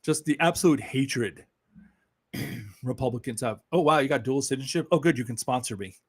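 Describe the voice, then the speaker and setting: A man's voice: medium pitch, moderate pace, breathy edge. A man with a New York accent, hosting a casual livestream, talking bluntly with sarcasm and frequent swearing. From Brooklyn, New York. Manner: blunt, sarcastic, swears freely and laughs often. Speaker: political livestream host. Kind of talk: casual live-stream chat.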